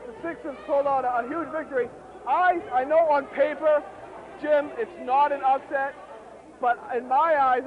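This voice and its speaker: A man doing enthusiastic, emphatic play-by-play at an amateur basketball final, his voice hoarse and wearing out. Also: very high-pitched. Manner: excitable, emphatic. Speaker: play-by-play commentator. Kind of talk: amateur league courtside commentary. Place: courtside at a basketball game. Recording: tinny, noisy outdoor recording.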